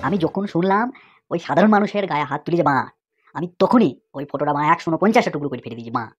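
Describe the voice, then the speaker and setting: A woman acts out an angry, swaggering cartoon man with a raspy, rough voice. He rants and peppers his speech with slangy tags. The sound is a little dull and lo-fi, like a homemade comic dub. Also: deep voice, expressive, racing, soft background music. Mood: indignant.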